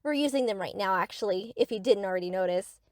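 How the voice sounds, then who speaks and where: A woman's voice: mid-range voice, fast talker, no echo, clean studio sound, close to an everyday voice. A woman playing a sweet, eager, obsessive young yandere best friend in an anime-style audio roleplay, gushing and theatrical.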